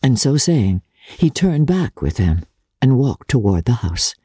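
A woman's voice: a bass voice, big pitch swings, unhurried, dark tone, husky, no room sound, clean studio sound. A woman reading literary narration as an audiobook narrator, in a scripted storytelling style.

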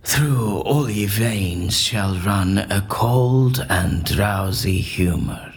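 An actor playing an eccentric, unflappable surgeon in an audio drama, with a formal, theatrical and dryly dismissive manner. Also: male voice, lowish voice, drawn-out words. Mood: solemn, theatrical.

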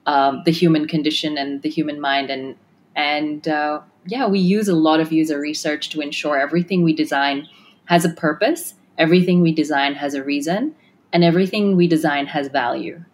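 Low-voiced woman with many fillers. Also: fairly even intonation, normal speed, a little hiss or hum. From Kenya and Australia. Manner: thoughtful, with many fillers and restarts. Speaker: tech design firm founder. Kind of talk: podcast interview answers.

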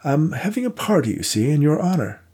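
A man's voice: a little high, sing-song, brisk, mellow, breathy edge, voice pushed up, full character voice. A man voicing a fussy, awkwardly polite elderly male donor with a raised voice. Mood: eager.

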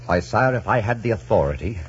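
A devoted buccaneer captain in a 1940s radio adventure. His low, husky voice sweeps expressively as he declaims with earnest deference. The recording is old and muffled. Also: expressive, fairly quick. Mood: proud, fervent. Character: devoted, bold buccaneer captain, humble before his king. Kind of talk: 1940s radio drama acting.

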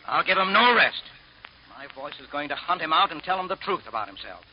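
An actor playing a crusading newspaper editor, speaking earnestly in 1940s radio acting style on an old radio broadcast. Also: male voice, on the high side, big pitch swings, fairly quick, dull audio, faint music behind.

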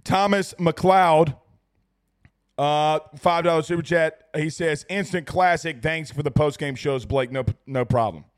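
A young man hosting a post-game sports livestream, hoarse and losing his voice, excitable and rambling as he reads viewer messages. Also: a little high, a little slow. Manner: excitable, rambling, repeats himself. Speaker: sports talk show host. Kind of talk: excited post-game livestream chat reading super chats.

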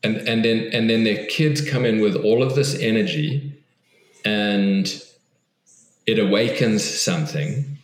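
A man's voice: fairly low pitch, measured pace, reverberant, poor audio. A man, a guest author on an interview podcast, speaks thoughtfully and earnestly, often restarting and repeating words.

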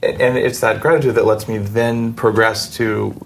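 Creaky-voiced male podcast host. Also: lowish voice, flat delivery, normal speed, a little hiss or hum. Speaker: podcast host interviewing a guest. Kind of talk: conversational interview.

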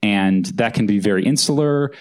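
A man's voice: lowish voice, a little mellow. A man, an author and journalist, answering questions thoughtfully at a live on-stage interview. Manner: thoughtful, measured, hedging with "kind of". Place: event hall with live audience.

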